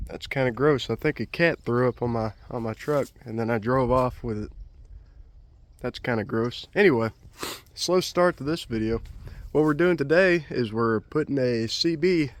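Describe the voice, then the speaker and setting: A man chatting casually and unscripted to the camera as a DIY vlogger, in a rambling, folksy, colloquial way. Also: medium pitch. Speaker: vlogger, DIY truck project host.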